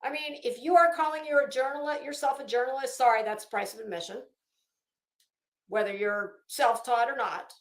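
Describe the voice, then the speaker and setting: A woman ranting casually as an online host, blunt and sarcastic, swearing freely and halting to stretch words for emphasis. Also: sits high. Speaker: YouTube host. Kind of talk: casual livestream rant. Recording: echoey room.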